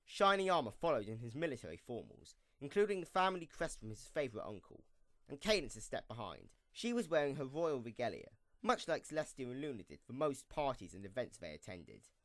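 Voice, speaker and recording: A man's voice: mid-range voice, sing-song, fairly quick, a bit bright, dry recording. A man narrating a scripted story for an amateur radio play, reading in a storybook style.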